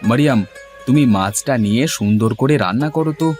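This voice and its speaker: A man playing a mild village farmer and father in a cartoon moral story, speaking in a plain, gentle way. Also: medium pitch, brisk, lightly acted. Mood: matter-of-fact. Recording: music under the voice.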